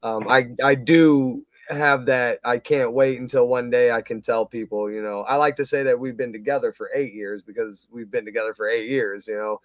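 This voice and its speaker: A man's voice: medium pitch, steady tone, moderate pace, few pauses, no room sound. A man in his late thirties hosting a casual talk stream, laid-back and swearing freely, calling everyone dude. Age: 30s. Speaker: host of a live talk stream. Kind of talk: casual chat.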